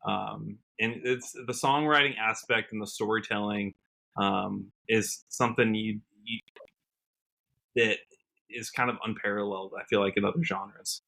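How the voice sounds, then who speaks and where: Bright-voiced young American man chatting. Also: on the low side. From Washington State, United States. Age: early 30s. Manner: conversational, peppers talk with "you know". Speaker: guest, country musician. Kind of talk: casual interview talk.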